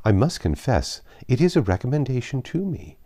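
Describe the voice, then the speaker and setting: A man's voice: mid-range voice, big pitch swings, normal speed, warm tone, pitched above the performer's own voice. A man voicing a pompous, gushing married society woman in a storybook reading, effusive, condescending and emphatic. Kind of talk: audiobook character reading.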